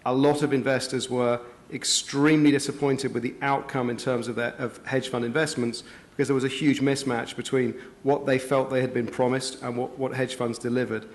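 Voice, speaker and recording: A man speaking as a panelist at a finance conference, with a loud voice and a measured, articulate way of talking. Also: medium pitch, fairly even intonation. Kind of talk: panel discussion.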